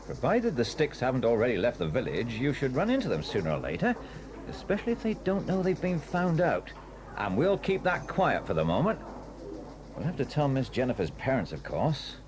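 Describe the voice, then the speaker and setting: An actor with a British accent playing a hearty, fussy police inspector in an audio drama. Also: male voice, medium pitch, background noise, natural voice. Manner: genial, fussy, with ums. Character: hearty, kindly police inspector, middle-aged man. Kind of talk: 1980s audio drama acting.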